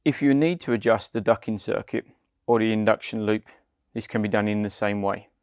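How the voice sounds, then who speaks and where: A British man, around his forties, giving scripted step-by-step product instructions in a measured, deliberate way. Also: fairly low pitch, darkish tone, clean tone, no room sound, studio quality. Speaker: product demonstrator.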